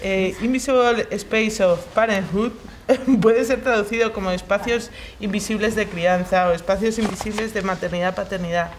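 Woman reading an essay aloud slowly. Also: medium pitch, slight background noise. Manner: slow, careful reading with hesitations. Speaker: reader in a shared reading group. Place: large hall, seated on the floor.

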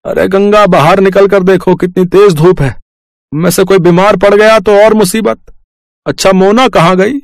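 Guy doing older indian village dad, farmer, kinda high voice, earnest n insistent, cartoon story. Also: fairly even intonation, moderate pace, no echo, clean studio sound. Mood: agreeable, practical. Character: kindly ageing village farmer father, practical and insistent. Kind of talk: cartoon moral story dialogue.

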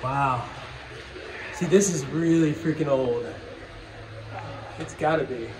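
Casual male explorer, a little high, recorded in a roomy tunnel with a long echo. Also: takes it slowly. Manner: relaxed, passes on local legends with fillers. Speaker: explorer filming a tunnel trip. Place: underground tunnel with water. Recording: echoey tunnel, distant mic, hiss.

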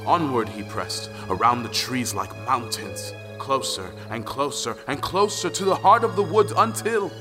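Male storyteller played by an actor for folktale audio drama, mid-range voice, music clearly underneath. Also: mid-range voice, lively intonation, moderate pace, hardly any pauses, lightly acted. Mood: storytelling. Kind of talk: scripted dramatic folktale narration. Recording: long reverb, music behind the voice.

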